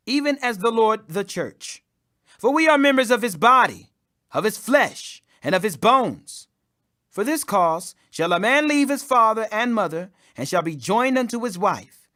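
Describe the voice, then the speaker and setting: A man with a notably high voice reads scripture for an audio Bible. His intonation is very animated, swinging widely in pitch. He declaims in measured, emphatic phrases. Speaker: scripture reader. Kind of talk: audio Bible reading, King James English.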